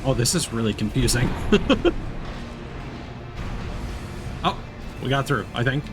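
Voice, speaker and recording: Animated male game streamer over music. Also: medium pitch, moderate pace. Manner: reactive, rambling, exclaiming. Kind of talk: casual live gameplay commentary. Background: game music and sound effects.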